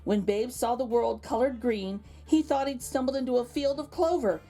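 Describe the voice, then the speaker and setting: A woman reading a picture book aloud to children as an expressive storyteller, with exclaimed asides to her young listeners. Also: fairly high pitch, moderate pace, faint music behind. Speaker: children's read-aloud host.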